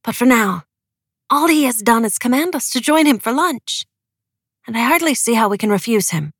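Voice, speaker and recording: Woman acting a firm young daughter, pitched up. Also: animated, normal speed, a bit bright, slightly rough, no room sound, studio quality, exaggerated voice. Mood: matter-of-fact. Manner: matter-of-fact, firm. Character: sensible, outspoken young woman, commander's daughter.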